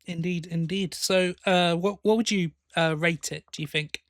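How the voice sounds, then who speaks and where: A man with a somewhat high-pitched voice co-hosts a review podcast in a casual, conversational way. He offers opinions tentatively and softens them as he talks. The recording is dry and echo-free. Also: fairly even intonation, normal speed.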